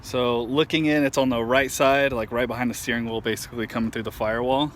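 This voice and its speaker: A man's voice: medium pitch, fairly even intonation. Casual man explaining over background noise. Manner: informal, halting, thinking aloud. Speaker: amateur truck owner showing a DIY install. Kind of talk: casual how-to demonstration. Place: outdoors at a truck. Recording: handheld outdoor recording with clear background noise.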